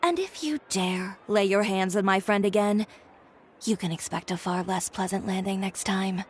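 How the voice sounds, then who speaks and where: An actress playing a composed, proud dressmaker who talks calmly with dry, cutting sarcasm. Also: female voice, mid-range voice, normal speed, a little hiss or hum, natural voice.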